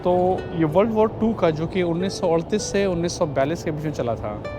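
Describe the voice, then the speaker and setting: Higher-voiced man narrating a walking tour. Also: animated, brisk, music in the background. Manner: mixes English words into his speech. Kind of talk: casual tour narration to camera. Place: outdoors on city streets by a river.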